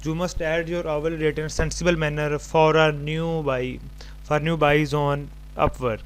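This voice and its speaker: A man presenting an unscripted step-by-step tutorial, haltingly thinking aloud as he types. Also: on the high side, level tone. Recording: home recording with clear background noise.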